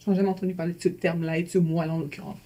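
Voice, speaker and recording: A woman's voice: fairly low pitch, flat delivery, very fast, dark tone. A woman in her thirties from Guinea, a podcast guest who speaks frankly. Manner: frank and candid. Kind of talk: casual podcast chat.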